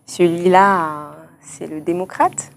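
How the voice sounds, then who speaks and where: A woman hosting an interview, posing structured questions and briskly acknowledging answers. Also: fairly low pitch, steady tone, gravelly. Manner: brisk, affirming with short acknowledgements. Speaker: interviewer, show host.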